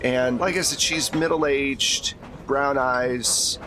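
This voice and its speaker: A man's voice: medium pitch, fairly even intonation, slow, a crowd in the background. A man running a tabletop game as game master, moving between casual table talk and narration.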